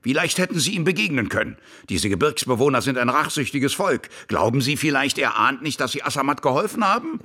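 An actor playing a gruff, kindly old army officer who tells his tales colloquially and vividly in a radio play. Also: medium pitch, big pitch swings, fairly quick, pushed and bright, heavily acted. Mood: worried. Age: older. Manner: colloquial, vivid, dramatic storytelling.

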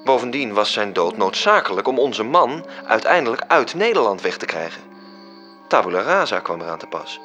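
An actor playing a coolly self-satisfied angel who recounts his schemes in a measured, precise radio drama narration. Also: fairly low pitch, big pitch swings, sharp tone, soft background music. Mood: cool, matter-of-fact.

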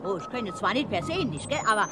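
A woman's voice: mid-range voice, very animated, fast talker, music in the background, close to an everyday voice. An actress playing a chatty, rambling woman with an in a radio play. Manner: rambling, gossiping about relatives. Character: chatty homely dialect-speaking woman, gossipy.

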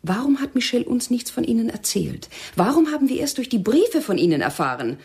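A woman's voice: a little high, sing-song, fairly quick, darkish tone, breathy edge, exaggerated voice. An actress in a radio drama playing a cold, domineering female militant interrogator, stern and mocking. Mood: pressing, scornful.

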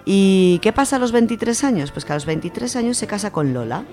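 A woman's voice: medium pitch, lively intonation, a little fast, a little mellow, faint music behind. A woman with an Aragonese Spanish accent presents a literary segment on a radio show, speaking in a chatty, explanatory way with many fillers.

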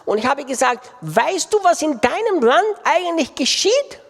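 A man's voice: extremely high pitch, very animated, moderate pace, vocal fry, a bit bright. A man preaching a sermon with impassioned delivery and emphatic repetition. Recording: long reverb of a large hall.

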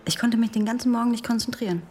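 A woman's voice: on the high side, steady tone, racing, music in the background, close to an everyday voice. An actress playing a frank, self-possessed, wry and teasing woman at police headquarters in a radio drama. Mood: seductive. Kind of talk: German radio drama acting.

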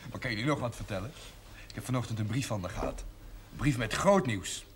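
An actor playing a blunt, plain-spoken, gruff man in a 1970s TV drama. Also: mid-range voice, animated, quick pace, background noise, lightly acted. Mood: relieved, matter-of-fact. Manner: blunt, colloquial. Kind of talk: 1970s television drama acting.

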